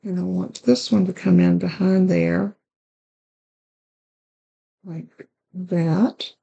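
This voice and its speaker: A woman with a raspy, hoarse voice hosting a card-making tutorial, chatting along as she works through each step. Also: fairly low pitch, steady tone, slow pace, mellow, dull audio. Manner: chatty, talks while working with her hands. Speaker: card-making channel host.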